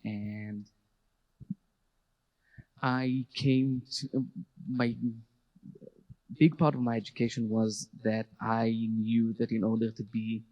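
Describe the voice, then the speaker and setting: A man in his thirties with a Hebrew accent, giving a halting, reflective personal testimony to an audience, a little nervous. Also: fairly low pitch, little pitch movement, a little mellow, muffled recording. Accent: Israeli Hebrew. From Jerusalem, Israel. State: a bit nervous. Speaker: speaker at a public talk, activist educator. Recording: reverberant hall.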